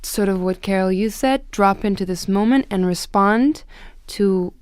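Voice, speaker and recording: A woman's voice: medium pitch, unhurried, darkish tone, smooth, dry recording. A young woman guest, a playwright and actor, reflecting tentatively and thoughtfully in a casual interview. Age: young adult.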